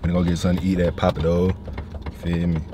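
A young man with an Atlanta Southern accent talks to his phone camera in a low, easygoing voice, full of slang and asides to his viewers. The phone recording picks up a steady noise bed behind him. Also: very fast. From Atlanta, Georgia. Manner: laid-back slangy banter to camera. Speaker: vlogger. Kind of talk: casual vlog chat. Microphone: phone camera held low.

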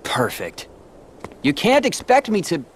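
A man's voice: sits high, wildly swooping pitch, unhurried, slight background noise, full character voice. An actor playing a guarded, defiant teenage boy hero in a video game, terse, dry and challenging. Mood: wary.

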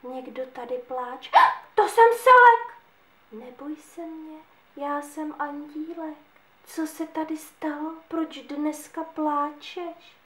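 A woman voicing a gentle, kind female angel who speaks softly and soothingly. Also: sits high. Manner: soft, hushed and soothing. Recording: echoey room.

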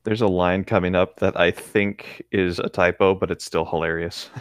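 A man's voice: sits low, fairly even intonation, normal speed, no room sound, clean studio sound. A man co-hosting a read-along show, switching between casual chat and reading aloud. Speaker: co-host of a group read-along commentary show.

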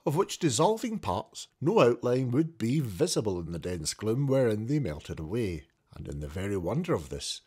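A man reading a classic novel aloud as an audiobook, in a theatrical, expressive way. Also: fairly low pitch, breathy edge. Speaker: audiobook reader. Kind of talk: dramatic narration of a classic novel.

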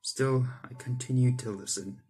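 A man's voice: medium pitch, fairly even intonation, normal speed, noisy background. Young man reading a visual novel aloud. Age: young adult. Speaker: gaming YouTuber. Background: game music.

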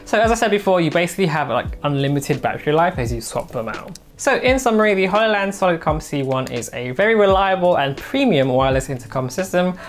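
A man's voice: fairly high pitch, big pitch swings, fast, hardly any pauses. A man, presenting a product review to camera in a small home studio. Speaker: tech reviewer and host. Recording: music bed under the voice. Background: background music.

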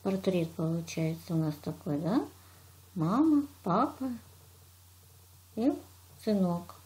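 Mellow-voiced woman guiding a drawing lesson. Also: fairly low pitch, very animated, slow pace. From Russia. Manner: patient, praising, narrating each step. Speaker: teacher. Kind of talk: step-by-step craft lesson.

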